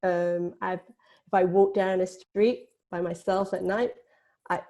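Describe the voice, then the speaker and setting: Creaky, mellow-voiced woman, reflective. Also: fairly low pitch, flat delivery, unhurried. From born in Vietnam, grew up in Britain. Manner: hedging, frequent "you know" and "kind of". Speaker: film producer on a panel. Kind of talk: informal, reflective panel discussion.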